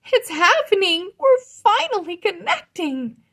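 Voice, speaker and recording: A woman voicing an excited, earnest young girl dragon master in a children's storybook. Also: very high voice, unhurried, voice pushed up, exaggerated voice. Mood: thrilled. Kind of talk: children's storybook read-aloud.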